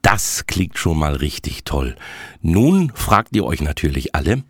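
A man's voice: very deep voice, very animated, measured pace, husky, no echo, studio quality. A man presenting a report in a scripted style.

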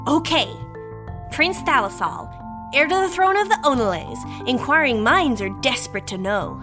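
A woman's voice: high voice, sing-song, moderate pace. An actress playing an eager, cheeky young woman hosting a homemade video in an audio drama, breezy and full of casual slang. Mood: showy, excited. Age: young adult. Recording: music behind the voice.

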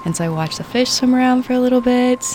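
A woman storyteller sharing a personal anecdote in a casual, unhurried, meandering conversational way. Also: high-pitched, a little mellow, a little hiss or hum.

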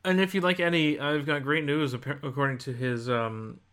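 A man's voice: fairly high pitch, steady tone, moderate pace, fairly bright tone. A man co-hosting a podcast, chatting casually about video games. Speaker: podcast host. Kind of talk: casual video game chat.

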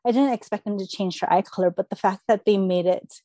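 Female guest for a casual book podcast, warm dark tone, close dry sound, conversational storyteller. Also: medium pitch, fast, no room sound. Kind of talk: casual chat.

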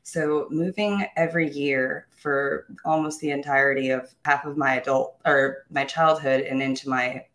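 Young woman w a low voice, upbeat, sure of herself, chatty guest telling her life story. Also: steady tone, normal speed, few pauses, fairly bright tone, low-quality recording. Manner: upbeat, self-assured, with small laughs and restarts. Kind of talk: candid personal storytelling.

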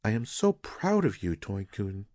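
A man voicing a gentle, devoted wife in a story, with soft, measured and formal speech. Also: fairly low pitch, big pitch swings, dry recording. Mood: proud. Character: gentle, devoted wife of a Japanese musician, proud and concerned. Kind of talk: audiobook character dialogue.

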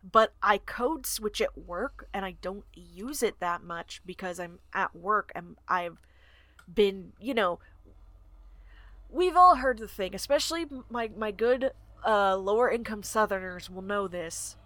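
A woman with a soft Southern US accent, co-hosting a casual book podcast, blunt and swearing freely. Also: medium pitch, lively intonation, unhurried, no echo. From southern United States. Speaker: podcast co-host. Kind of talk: casual book-discussion chat.

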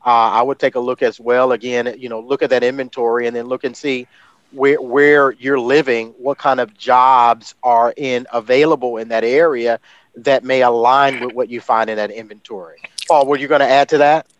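A man's voice: mid-range voice, fairly even intonation, normal speed, highs cut off. A man who is an agency director, giving advice in a rambling way with many restarts. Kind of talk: giving advice on a call-in show.

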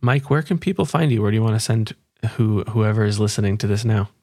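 A man's voice: fairly low pitch, fast talker, darkish tone. A man hosting an interview podcast in a conversational, affirming and appreciative way.

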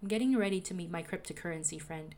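A young woman with a soothing voice, calmly narrating a personal audio diary as a podcaster. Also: fairly low pitch, fast. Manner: calm, reflective, confessional.

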